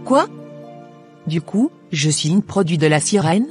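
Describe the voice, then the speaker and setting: Young cartoon daughter played by a man, high voice, earnest and easily troubled, faint music behind. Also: big pitch swings, unhurried. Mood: shocked. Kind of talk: scripted cartoon dialogue.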